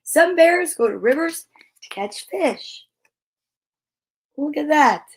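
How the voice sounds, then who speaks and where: Woman, high voice, slow delivery, children's read-aloud host, exclamatory, playful, encouraging. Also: lively intonation. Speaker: children's story time host. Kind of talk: live read-aloud with playful asides to viewers. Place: at home.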